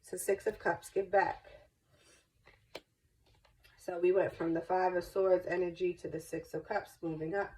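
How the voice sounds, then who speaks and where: A woman's voice: lowish voice, little pitch movement, unhurried, pauses often, a little mellow, low-quality recording. A congested woman giving a chatty, reassuring tarot reading, stuffy from a cold. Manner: chatty, reassuring, repeats herself. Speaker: tarot reader. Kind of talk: casual spoken tarot reading.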